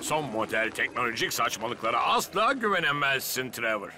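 Man playing a blustery older fire chief, very animated. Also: mid-range voice, moderate pace, noisy background, natural voice. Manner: commanding, blustering. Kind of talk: Turkish cartoon dub acting. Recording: music behind the voice.

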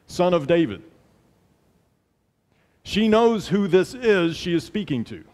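A man's voice: a little high, a little mellow. A middle-aged man preaching a sermon from a pulpit in a church, earnest and emphatic, repeating phrases for weight, on a headset mic. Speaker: pastor. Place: large church sanctuary, at a pulpit. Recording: long hall reverb.

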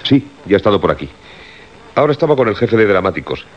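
An actor with a husky voice and a Castilian Spanish accent playing a cautious, concerned radio crew colleague who reasons calmly in a radio drama. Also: male voice, fairly low pitch, fast, slight background noise. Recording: muffled radio recording.

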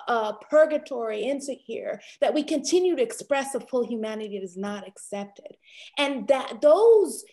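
A woman's voice: high-pitched, a little mellow. A woman on a video call from an office, explaining ideas earnestly with some hesitations. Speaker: panelist. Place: office room.

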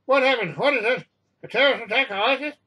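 A man's voice: very high voice, quick pace, bright, forceful tone, slightly rough, voice pushed up, heavily acted. A man voicing a stern, exasperated airline captain in a crisis story, blustering and commanding with urgency. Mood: alarmed.

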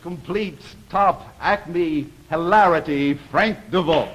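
A man's voice: fairly high pitch, slow, noisy background. A man in his thirties with an American accent hosts a live 1950s television game show, ad-libbing introductions in a breezy, joking, chatty way. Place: television studio living-room set. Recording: old 1950s TV soundtrack, studio audience. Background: studio audience reactions.

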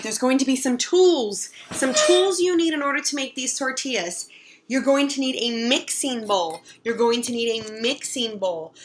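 Young woman, fairly high voice, teacher, simple step-by-step instructions, friendly. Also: bright, forceful tone. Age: young adult. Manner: simple, step-by-step explaining with repetition. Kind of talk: friendly instructional talk to children. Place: home kitchen, indoors. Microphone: camera at about arm's length.

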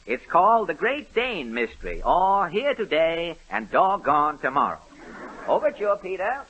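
A man's voice: high-pitched, big pitch swings, a little slow, dull audio. A man introducing a sketch with wry jokes as a 1930s radio comedy host. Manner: wry, dry, jokey.

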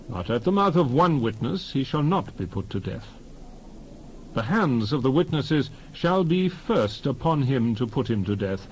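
A man reads scripture aloud as a dramatized narration. His voice sits at a middle pitch, and he phrases solemnly and deliberately, pausing between clauses. A faint background noise runs under the recording. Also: a little mellow, poor audio. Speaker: Bible reader.

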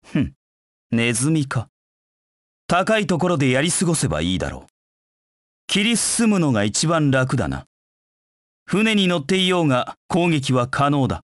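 An actor voicing a proud, cold dark knight in a video game, with curt, haughty, disdainful delivery. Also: male voice, fairly high pitch, very animated, normal speed, long pauses, dry recording, clean studio sound. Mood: cool, disdainful. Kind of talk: video game voice acting in short battle lines.

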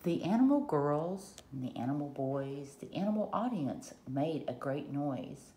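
A woman reading a children's picture book aloud in short, deliberate rhyming phrases, recorded at a table at home. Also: sits low, big pitch swings, mellow. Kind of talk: read-aloud storytelling. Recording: roomy echo, faint background noise.

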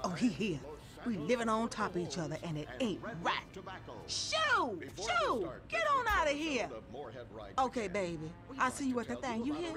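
A woman's voice: fairly high pitch, wildly swooping pitch, normal speed, music in the background. A woman voicing a nagging, scornful middle-aged housemate in a video game, sassy and scolding. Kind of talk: video game cutscene acting.

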